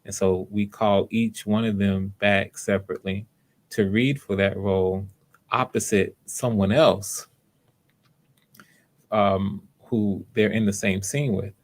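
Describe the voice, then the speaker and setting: A man hosting live online auditions, talking casually to viewers in an unhurried, explanatory way, thinking aloud as he goes. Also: lowish voice, steady tone. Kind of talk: casual livestream talk to viewers.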